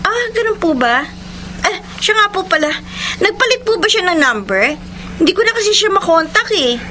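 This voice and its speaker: A woman's voice: very high voice, sing-song, normal speed, sharp tone, a little hiss or hum, exaggerated voice. An actress playing an obsessed, stubborn jilted young woman with an intense manner in a Filipino radio drama. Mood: anxious, probing. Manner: intense, defiant.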